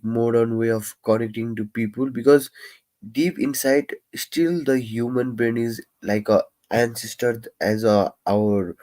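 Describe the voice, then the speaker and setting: A young man who stammers, talking in a casual, reflective live-stream monologue with many pauses, fillers and restarts. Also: mid-range voice, darkish tone.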